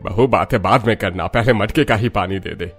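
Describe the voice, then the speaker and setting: A man's voice: on the low side, normal speed, soft background music. A man voicing a demanding middle-aged father-in-law in a cartoon story, tired and grumbling. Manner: grumbling, commanding. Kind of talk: Hindi cartoon story voice acting.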